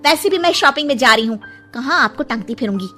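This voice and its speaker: Woman playing arrogant young daughter-in-law, curt, dismissive, very high, animated pitch, audio drama. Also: fast, faint music behind. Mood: irritated, dismissive. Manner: snappy, dismissive.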